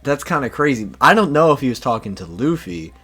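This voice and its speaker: A man's voice: mid-range voice, big pitch swings, brisk, sharp tone, slightly rough, faint music behind. A man in a casual podcast chat, explaining things hesitantly with plenty of fillers.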